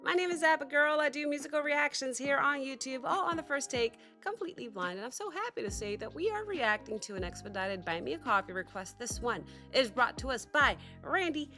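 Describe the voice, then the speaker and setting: Bright chatty woman with a sickly cough. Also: high voice, brisk, few pauses, faint music behind. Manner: chatty and excitable, rambling with fillers and restarts. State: sick with a cough, recovering voice. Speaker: music reaction host. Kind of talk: casual chat to camera.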